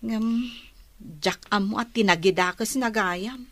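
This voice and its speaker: A woman's voice: medium pitch, a little slow, fairly bright tone, no echo. An actress playing a wronged woman who lent help and complains of ingratitude in a radio drama. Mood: aggrieved. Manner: aggrieved, complaining.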